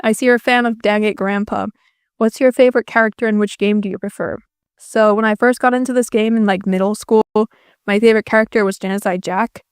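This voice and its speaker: A woman's voice: mid-range voice, fairly even intonation, brisk, clean tone, dry recording. Young Finnish-native woman YouTuber, casual Q&A voice-over, self-mocking, dry close mic. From Finland. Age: young adult. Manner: self-deprecating, dry jokes.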